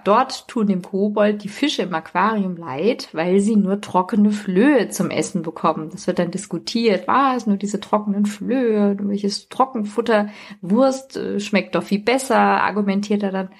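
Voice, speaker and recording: A woman with a mid-pitched voice that has a husky edge. She speaks as a podcast co-host, retelling stories in an informal, conversational style. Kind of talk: casual chat, retelling stories.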